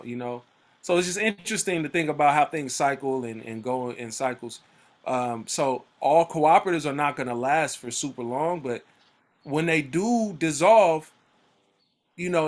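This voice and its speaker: A man leading a book club over a video call, reading timeline entries aloud and commenting on them in a loose, conversational way with frequent fillers and restarts. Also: medium pitch. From Oakland area, US. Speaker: book club discussion leader.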